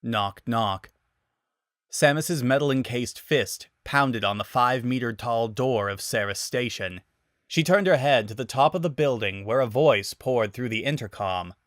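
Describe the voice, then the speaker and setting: A man's voice: mid-range voice, lively intonation, unhurried, fairly bright tone, no room sound, studio quality. A man giving a dramatic scripted narration of a story.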